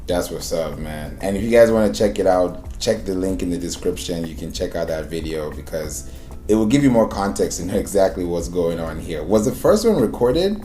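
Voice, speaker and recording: Male podcast interviewer, deep and dark-toned, casual chat, roomy echo on the voice. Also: expressive, moderate pace. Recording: echoey room sound.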